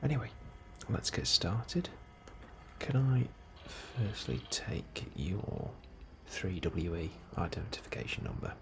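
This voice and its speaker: A man doing a soft, breathy ASMR role-play as an unhurried, politely understated officer interviewing a newcomer. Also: sits low. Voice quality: soft, breathy, often near whisper. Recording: steady ambient noise behind the voice. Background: computer and ambience sounds.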